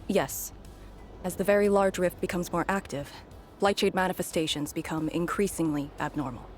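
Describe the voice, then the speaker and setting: A woman voicing a grave, resolute veteran leader in a video game, speaking in a measured, solemn way. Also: on the low side, soft background music, lightly acted. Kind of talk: scripted video game dialogue.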